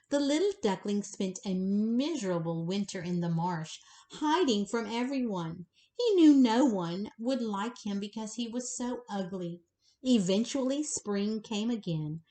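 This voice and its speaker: A woman reads a children's story aloud at bedtime. Her delivery is unhurried and expressive, with pitch that swings widely. She speaks directly to her young listeners as a storyteller would. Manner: slow, animated, pausing to address the child listeners directly.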